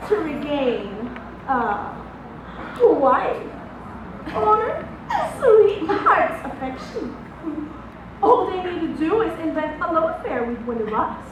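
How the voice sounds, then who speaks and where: A woman acting a worldly, self-assured stage actress in a play, speaking grandly and teasingly with dramatic pauses. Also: sits high, sing-song, exaggerated voice. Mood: amused, lofty. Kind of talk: theatrical one-act stage play acting. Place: large auditorium stage, distant microphone. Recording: echoey noisy auditorium from far off.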